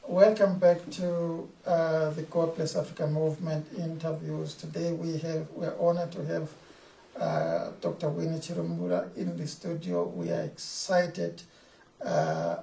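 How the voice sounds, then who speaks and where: A man's voice: on the high side, unhurried, vocal fry, dark tone, reverberant, far from the mic, rough recording. A man interviewing a guest in a studio for a TV program, talking hesitantly with fillers and restarts.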